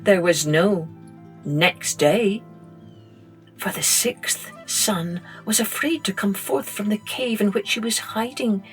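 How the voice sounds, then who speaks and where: A middle-aged woman, reading a children's story gently and expressively as a podcast host. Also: mid-range voice. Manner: gentle, slow and expressive read-aloud.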